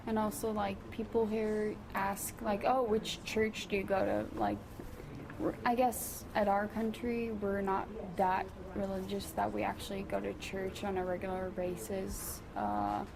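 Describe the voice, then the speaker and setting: A woman's voice: mid-range voice, level tone, unhurried, creaky. A teenage girl from Norway talks casually with a Norwegian accent, dropping plenty of filler words. Her voice sits in a middle register. Crowd chatter is heard behind her. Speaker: exchange student.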